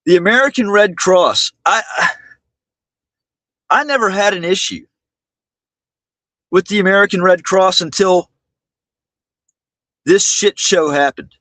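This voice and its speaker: A man hosting a livestream monologue, speaking indignantly and haltingly, often repeating his words. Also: high voice, pushed and bright, dry recording. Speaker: livestream host.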